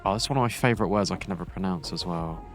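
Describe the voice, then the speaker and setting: A male esports caster with a lowish voice, talking through plays in a relaxed, thinking-aloud way. Faint music plays underneath. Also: very fast. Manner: conversational, repeats words as he thinks aloud. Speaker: esports commentator. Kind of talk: live game commentary and casual chat.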